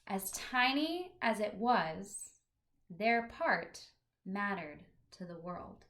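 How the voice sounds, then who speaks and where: Slow-paced woman reading to children. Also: mid-range voice, very animated, fairly bright tone, slight background noise. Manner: deliberate, clearly phrased storytelling for young children. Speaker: teacher reading a picture book aloud. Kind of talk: expressive children's read-aloud with chatty asides to listeners.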